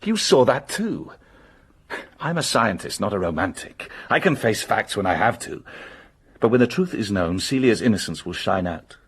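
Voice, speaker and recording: An actor playing an earnest, emotional young scientist in love, in a radio drama. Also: male voice, lowish voice, sing-song, moderate pace, slightly rough, heavily acted. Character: earnest young male scientist, anxious lover.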